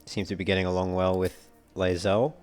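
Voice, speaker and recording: A man giving low-key, casual gameplay commentary, musing aloud as he plays. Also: flat delivery, brisk, faint music behind. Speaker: let's play host.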